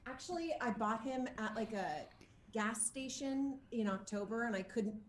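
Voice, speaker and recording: A woman's voice: a little high, normal speed, a little hiss or hum. Chatty, jokey woman in an echoey room. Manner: animated, jokey, often laughing as talking. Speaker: interview guest, actor. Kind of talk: casual chat, telling anecdotes. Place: at home, indoor room.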